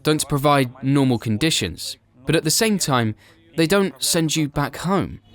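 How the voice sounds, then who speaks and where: A man's voice: a little high, animated, measured pace, fairly bright tone. Man narrating a documentary voice-over.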